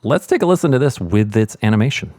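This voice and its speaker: A man's voice: medium pitch, expressive, fast talker, a little mellow. A middle-aged man explaining sound mixing in an informal tutorial, thinking aloud as he goes. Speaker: sound mixer giving a tutorial. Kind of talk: casual tutorial walkthrough.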